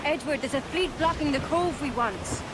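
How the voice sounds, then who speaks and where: An actress playing a bold, skeptical pirate shipmate, forthright and questioning, in a dramatic game scene. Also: female voice, high-pitched, moderate pace, background noise, lightly acted. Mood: challenging. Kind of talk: dramatic video game acting.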